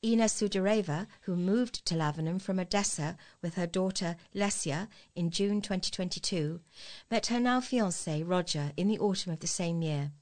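Female, British accent, lower-pitched voice, volunteer local newsreader, scripted read. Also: moderate pace, darkish tone. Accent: British English. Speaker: volunteer reader of local news. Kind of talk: scripted news reading.

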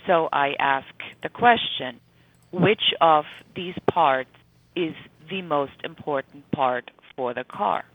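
A woman's voice: deep voice, animated, slow, dry recording, narrow, tinny audio. A woman speaking as an interview guest, explaining her ideas conversationally as an author and corporate trainer, in band-limited sound.

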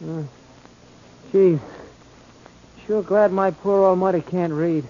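High-pitched, dim American sidekick. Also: male voice, fairly even intonation, warm tone. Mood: puzzled. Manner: slangy, ungrammatical, slow-witted and deferential. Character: dim, loyal, lowbrow male crook's sidekick. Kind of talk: 1940s radio acting. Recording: noisy, muffled old radio recording.

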